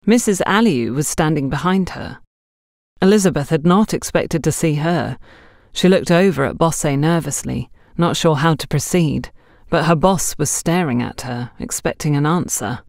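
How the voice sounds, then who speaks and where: A woman's voice: low voice, normal speed, darkish tone, no echo, studio quality. A woman narrating a scripted mystery story as an audiobook.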